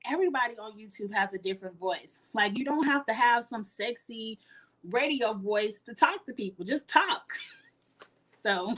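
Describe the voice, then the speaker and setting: A woman, chatting casually with her viewers as a YouTuber, laughing often and sounding earnest and encouraging. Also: a bit bright. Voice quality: high-pitched voice. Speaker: YouTuber answering live chat.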